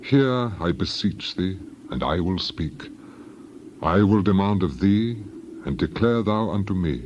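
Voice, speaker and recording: A man's voice: low voice, lively intonation, measured pace, darkish tone. An actor playing a humbled, repentant suffering man in a dramatized scripture reading, solemn and penitent. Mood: humble, remorseful. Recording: dull, noisy recording with ambience.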